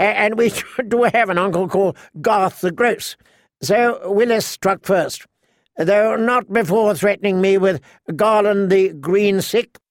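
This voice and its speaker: A man voicing a courteous, kindly young knight in an audiobook, speaking in a courtly, earnest, gentle way. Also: on the high side, no echo, clean studio sound, voice pushed up, lightly acted. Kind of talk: audiobook character voice.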